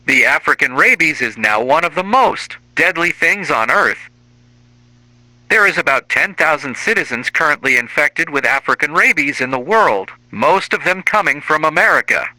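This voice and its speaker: Male announcer, scripted emergency alert narration, very sharp bright voice, highish pitch, lively intonation, dry sound. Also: moderate pace, dry recording, poor audio.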